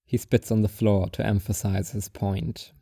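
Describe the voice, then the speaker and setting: A man reads a second-person story in a measured, wry way. His voice is mellow and of middle pitch, recorded close and completely dry. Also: flat delivery, creaky, no echo. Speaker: narrator.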